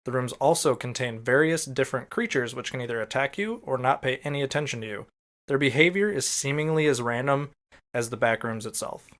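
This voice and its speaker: Man, smooth clear voice, podcast co-host; relaxed explainer delivery, casually profane. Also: medium pitch, fairly quick. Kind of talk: explainer read from notes mixed with casual banter.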